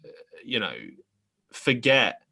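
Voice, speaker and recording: A man hosting a podcast interview, chatting casually in an animated, self-deprecating way. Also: medium pitch, slow, husky. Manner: animated, self-deprecating, frequent laughs and restarts. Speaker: podcast host.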